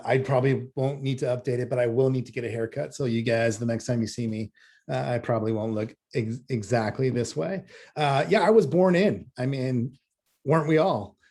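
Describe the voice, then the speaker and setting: Male creative director, from Massachusetts, mid-range voice. Informal presenter, halting and hesitant. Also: medium pitch. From US East Coast, Massachusetts. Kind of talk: informal self-introduction presentation.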